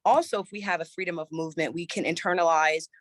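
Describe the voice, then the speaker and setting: A woman's voice: on the low side, steady tone, fast, no echo, clean studio sound. Slightly scratchy woman choir director. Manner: thoughtful, with many restarts and fillers. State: slightly scratchy from a recent cold. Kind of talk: relaxed interview answers.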